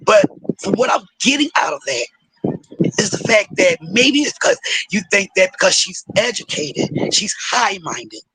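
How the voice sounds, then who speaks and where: A hoarse man speaking as a panelist in a heated debate, preachy and often asking "you understand?". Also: high-pitched, very animated, unhurried, sharp tone, low-quality recording.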